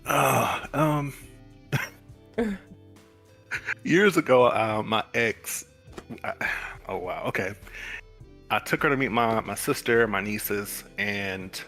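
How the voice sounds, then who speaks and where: Man, bright tone, hesitant casual storytelling, quiet music under. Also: lowish voice, lively intonation, takes it slowly, pauses often. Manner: hesitant, laughs often.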